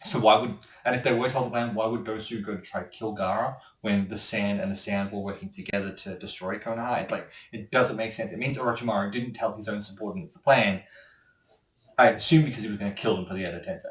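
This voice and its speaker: A man's voice: on the low side, steady tone, quick pace. A man chatting casually as a voice actor being interviewed, rambling and opinionated. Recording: roomy echo, distant microphone.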